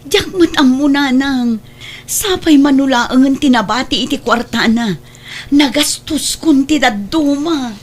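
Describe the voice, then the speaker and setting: Woman doing evasive guilty big sister, high voice, ilocano accent, audio drama. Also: measured pace. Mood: worried. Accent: Ilocano Filipino. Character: guilty, evasive older sister hiding spent money.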